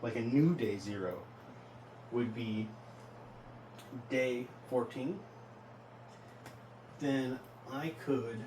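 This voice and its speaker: A man's voice: medium pitch, mellow. Echoey, distant young man rambling to camera. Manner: rambling, halting, self-questioning. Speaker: vlogger. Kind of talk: casual self-reflective vlog talk. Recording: echoey, noisy, distant from mic.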